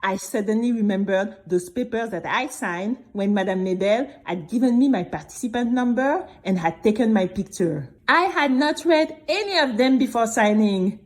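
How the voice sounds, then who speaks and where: A woman playing a brave young girl who tells her own story in an expressive, exclamatory way for a children's audio drama. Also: on the high side, lightly acted. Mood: distressed. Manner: expressive, exclamatory, with drawn-out emphatic words.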